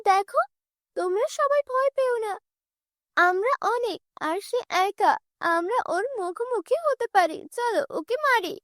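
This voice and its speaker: A woman's voice: very high-pitched, lively intonation, unhurried, a bit bright, no echo, clean studio sound, voice pushed up, heavily acted. A woman voicing a brave, defiant young schoolgirl who rallies others in a children's cartoon. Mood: rallying.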